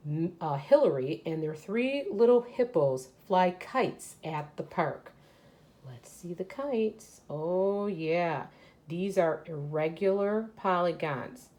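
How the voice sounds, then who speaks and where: A woman's voice: lowish voice, darkish tone. A woman teacher reading a children's educational book aloud and explaining it to young pupils as she goes. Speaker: third grade teacher.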